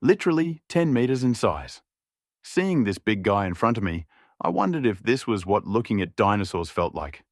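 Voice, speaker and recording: A man's voice: fairly low pitch, expressive, moderate pace, no room sound, studio quality. A man reading a scripted story as an audiobook narrator.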